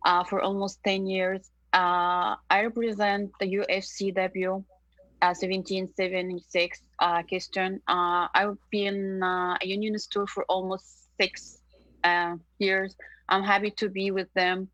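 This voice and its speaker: A woman from Egypt, a meat plant worker, asking her question haltingly with many fillers. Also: mid-range voice, flat delivery, a bit bright, no echo, dull audio.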